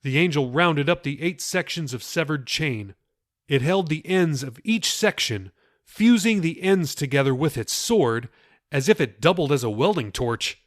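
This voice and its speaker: A man's voice: lively intonation, normal speed, no room sound, clean studio sound. Man narrating his own fantasy novel. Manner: dramatic, shifting pace and pitch for action. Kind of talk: audiobook narration.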